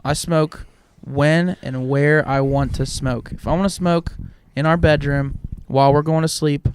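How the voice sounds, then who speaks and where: A man's voice: a little high, fairly even intonation, unhurried, a little hiss or hum. A man in his twenties co-hosting a casual podcast, rambling and laughing often. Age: 20s. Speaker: podcast co-host. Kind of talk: casual chat.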